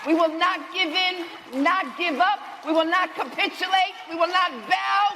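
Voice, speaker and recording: A man delivering a loud, declamatory, defiant political speech to rally people. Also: very high voice, fairly even intonation, normal speed. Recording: noisy live crowd recording.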